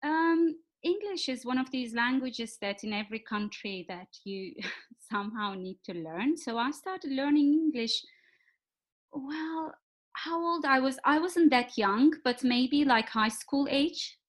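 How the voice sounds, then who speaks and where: Lady guest on a podcast, kinda high voice, lots of ums and pauses. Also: expressive. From Turkey. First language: Turkish. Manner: thoughtful, frequent filler pauses. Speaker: guest, executive coach. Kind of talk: podcast interview.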